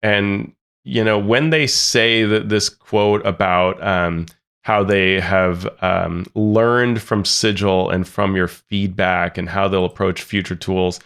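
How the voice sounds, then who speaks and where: Low-voiced guy on a podcast, earnest, kinda critical, trips over words. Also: steady tone, slow pace, fairly bright tone, smooth. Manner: earnest, critical, many restarts. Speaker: podcast co-host. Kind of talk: casual discussion.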